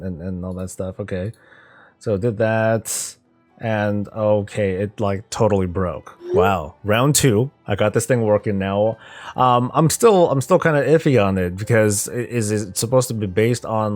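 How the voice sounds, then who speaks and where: A man hosting casual gaming news commentary, talking conversationally and thinking out loud as he goes. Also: lowish voice. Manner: conversational, rambling, thinking aloud. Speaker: gaming news YouTube host.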